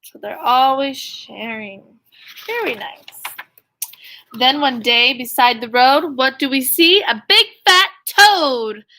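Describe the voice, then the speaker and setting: Woman, school teacher, high voice, slow pace, expressive storytime reading, questions and asides for children. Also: low-quality recording.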